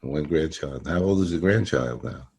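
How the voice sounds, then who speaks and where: A man hosting a podcast interview, speaking informally and haltingly to his guest. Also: very deep voice, vocal fry, darkish tone, slightly rough. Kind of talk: informal interview with hesitant, repetitive sign-offs.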